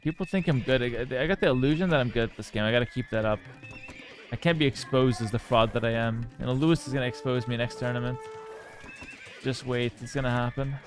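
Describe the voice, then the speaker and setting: A man's voice: medium pitch, fast talker, faint music behind. A man from Canada, chatting casually on a live stream while playing a game, joking, swearing freely and reading viewers' messages aloud. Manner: laid-back, joking, swears casually, reads chat aloud.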